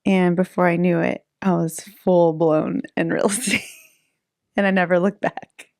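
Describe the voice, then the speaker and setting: A woman's voice: fairly low pitch, little pitch movement, unhurried, a little mellow, no room sound, studio quality. A woman in her thirties, a real estate agent chatting casually in an interview, laughing easily between fillers. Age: 30s.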